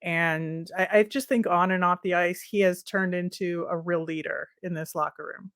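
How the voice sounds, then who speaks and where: A woman co-hosting a sports podcast, talking through points in a casual, measured, conversational way. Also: on the low side, steady tone, fairly bright tone, no room sound, clean studio sound. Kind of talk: casual hockey discussion.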